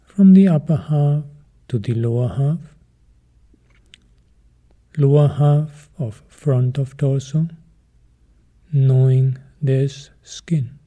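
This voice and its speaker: A man leading a guided meditation as a teacher, calm and measured, in short phrases with pauses between them. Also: medium pitch.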